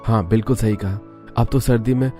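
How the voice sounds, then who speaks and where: A woman voicing a calm, reassuring young husband in a cartoon story. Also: rumbling low, fairly quick, soft background music, pitched below the performer's own voice. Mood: agreeable. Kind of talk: Hindi cartoon story character acting.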